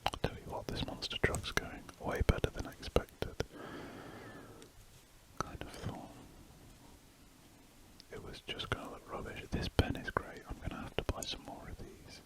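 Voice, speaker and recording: A speaker, chatting in a soft, rambling ASMR whisper while drawing. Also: unhurried, pauses often, rough recording. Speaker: ASMR drawing channel host.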